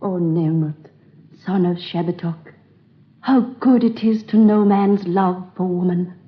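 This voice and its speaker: A woman's voice: lowish voice, measured pace, natural voice. Actress as a stately, loving Egyptian queen, dull old radio sound. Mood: tender. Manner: stately, theatrical. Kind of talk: 1930s radio drama.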